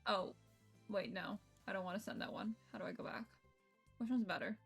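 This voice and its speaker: A woman's voice: medium pitch, fairly even intonation, brisk, vocal fry, breathy edge. A woman streaming a visual novel, reading it aloud expressively and breaking off into casual, reactive asides. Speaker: game streamer. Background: game music.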